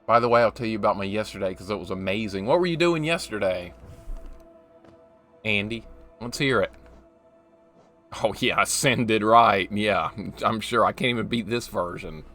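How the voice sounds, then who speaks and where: A man's voice: on the low side, moderate pace, pauses often, bright, forceful tone, soft background music. A man in his forties streaming a game, chatting casually with viewers and reacting to play. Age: 40s. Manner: chatty, talks to chat while reacting to the game. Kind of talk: casual live-stream chat while gaming.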